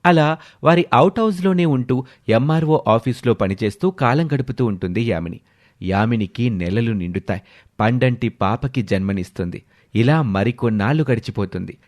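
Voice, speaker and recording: A man's voice: medium pitch, darkish tone. Male storyteller over background music. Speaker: story narrator. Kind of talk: scripted storytelling narration. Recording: music behind the voice.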